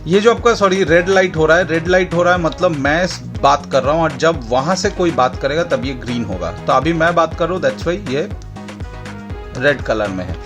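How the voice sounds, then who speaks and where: A man's voice: on the high side, moderate pace. A man with an Indian accent hosting a casual product demonstration, explaining step by step and repeating points for clarity. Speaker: product demonstrator and channel host. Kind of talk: casual product demo mixing Hindi and English. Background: background music.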